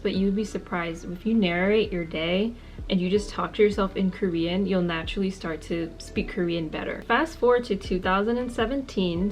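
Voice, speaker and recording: A woman in her twenties talking casually to camera, giving friendly, earnest tips and repeating words for emphasis. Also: medium pitch. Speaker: language-learning vlogger.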